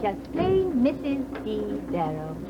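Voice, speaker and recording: An actress with an English accent playing a young bride, bright and teasing, in a 1950s radio drama. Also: female voice, medium pitch, big pitch swings, takes it slowly. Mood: lively. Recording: dull hissy old radio recording.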